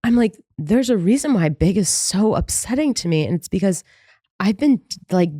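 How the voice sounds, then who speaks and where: A woman's voice: mid-range voice, animated, moderate pace, mellow, no echo, clean studio sound. A woman in her thirties co-hosting a podcast, chatting casually with frequent rambling and self-amused asides. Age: 30s. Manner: chatty, rambling, laughs at herself. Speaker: podcast co-host. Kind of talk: casual recap chat.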